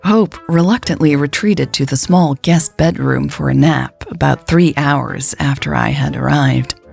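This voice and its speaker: A woman's voice: low-pitched, unhurried, gravelly, a little mellow, faint music behind. A woman reading a scripted first-person romance story in a confiding, conversational way, as a podcast storyteller.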